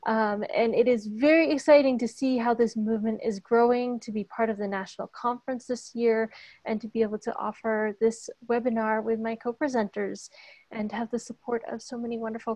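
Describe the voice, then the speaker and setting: A woman's voice: fairly high pitch, darkish tone, no echo. A woman author and activist introducing herself over a video call in a friendly, upbeat way. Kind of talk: webinar introduction.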